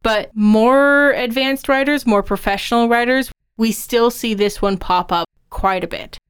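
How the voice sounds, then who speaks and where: A woman's voice: a little high, measured pace, clean tone. A woman co-hosting a podcast, discussing and explaining in a conversational style. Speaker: podcast co-host.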